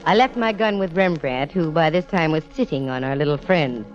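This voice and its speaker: A brash young female private eye played by an actress from vintage radio drama. She speaks in a lowish voice with a wry, breezy, slangy delivery. The sound is dull, like an old broadcast. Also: brisk, over loud music, natural voice. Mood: wry narration. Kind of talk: 1940s-50s radio detective acting.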